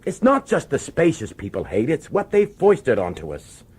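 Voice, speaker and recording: Nervous police boss in a radio play, raspy, super up and down, pushy but pleading. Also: male voice, mid-range voice, huge pitch swings, moderate pace, darkish tone. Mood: agitated, urgent. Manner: urgent, hesitant, pleading authority. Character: harried, nervous male police commissioner, anxious and insistent. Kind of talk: radio drama acting.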